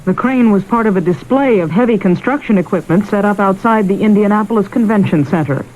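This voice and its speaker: A woman's voice: medium pitch, a little fast, a little mellow, low-quality recording. A woman with an American accent anchoring a vintage radio newscast in a scripted news-reading style. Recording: vintage radio broadcast, faint noise.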